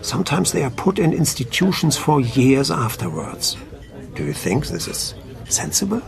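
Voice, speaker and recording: An actor playing a calm, principled doctor in a radio play, speaking in a measured, formal, explanatory way. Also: male voice, on the high side, creaky, a crowd in the background, full character voice. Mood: earnest. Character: calm, principled male doctor at an assisted-dying clinic.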